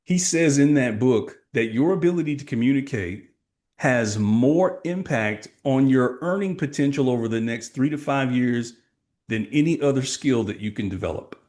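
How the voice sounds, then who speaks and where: A man's voice: mid-range voice, animated, moderate pace, darkish tone. A middle-aged man from Texas, a sales coach giving emphatic, motivational answers in a podcast interview. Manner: emphatic, motivational, asks rhetorical questions.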